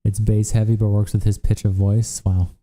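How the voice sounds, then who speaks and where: Casting: young male streamer, tired, profane and chatty, low pitch, very dark mellow tone. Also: level tone. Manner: casual, profane, reacts to chat with quick asides. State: worn out from days of streaming. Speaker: livestream host. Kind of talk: casual livestream chat reading viewer comments.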